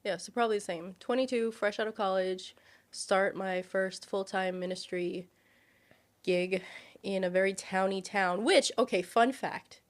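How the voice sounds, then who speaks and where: A woman's voice: mid-range voice, unhurried. A woman in her thirties, from Brazil and raised in the United States, telling stories as a podcast guest and laughing often. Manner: lively storyteller, laughs often. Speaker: guest, high school ministries director. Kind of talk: casual podcast chat.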